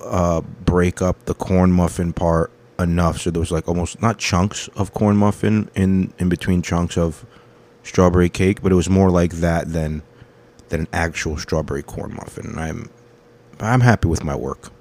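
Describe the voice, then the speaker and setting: Man, 30s, deep low voice, comedian podcast host, rambling, swears a lot, restarts his sentences. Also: steady tone, normal speed, a little mellow. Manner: rambling, profane, self-correcting. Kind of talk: casual solo podcast monologue.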